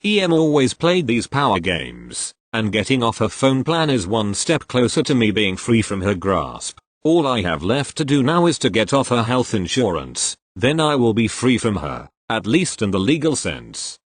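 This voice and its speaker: A man reading online posts aloud as the regular reader of the channel, in an expressive storytelling way. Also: medium pitch, normal speed, hardly any pauses, no room sound.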